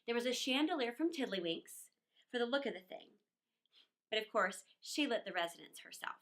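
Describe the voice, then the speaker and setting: A woman reading a children's story aloud in an expressive, playful, theatrical manner with chatty asides. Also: fairly high pitch, a little fast.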